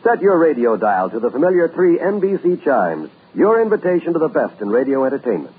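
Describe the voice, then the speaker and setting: A man's voice: fairly high pitch, big pitch swings, fairly quick, background noise. A man making a formal network announcement in a stately, earnest manner. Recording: tinny old radio broadcast.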